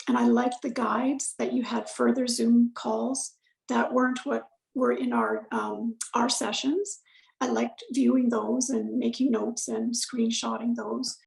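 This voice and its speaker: A woman talks in a relaxed, rambling way, her voice a little high and gravelly. She fills pauses with ums and corrects herself as she goes. The sound is echoey, like a room picked up over a video call. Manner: chatty, many fillers and self-corrections. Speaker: client giving a testimonial. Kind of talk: casual conversational testimonial.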